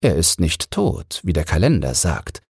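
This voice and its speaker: A knowing storybook raven played by a man. The voice is raised a little and husky, with slow, matter-of-fact delivery. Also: low voice, wildly swooping pitch, a little mellow, dry recording, clean studio sound, full character voice. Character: knowing raven explaining things to sparrows.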